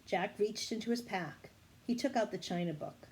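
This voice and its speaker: A woman's voice: mid-range voice, moderate pace, a little mellow, breathy edge. A woman reading a children's storybook aloud expressively, now and then correcting a stumble. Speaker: story reader.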